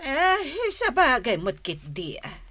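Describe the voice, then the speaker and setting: An actress with an Ilocano accent playing a gossipy, excitable woman neighbor in a comedy radio drama. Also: on the high side, slow pace, exaggerated voice. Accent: Filipino, Ilocano.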